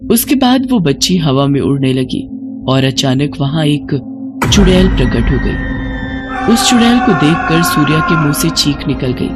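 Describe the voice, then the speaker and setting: A woman's voice: deep voice, sing-song, moderate pace, over music. A woman reading a scripted horror story as a dramatic narrator.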